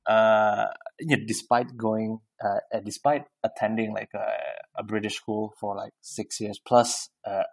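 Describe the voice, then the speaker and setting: A man from Indonesia hosts a casual podcast chat, hesitant and often pausing on "uh" while he searches for words. His voice is somewhat low and husky, and it sounds close and dry, with no room echo. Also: level tone. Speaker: podcast host.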